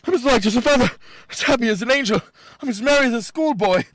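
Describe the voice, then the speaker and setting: An actor playing an excitable old miser in a radio play, theatrical and stammering. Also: male voice, extremely high pitch, fast, dry recording. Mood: giddy joy.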